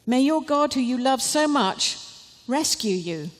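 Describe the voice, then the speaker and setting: Woman as a proclaiming storybook king, raised pitch, echoing hall. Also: lively intonation, unhurried. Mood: anxious calling. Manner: proclaiming, emphatic. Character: grand, gullible but kind-hearted storybook king. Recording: live reading in a reverberant hall.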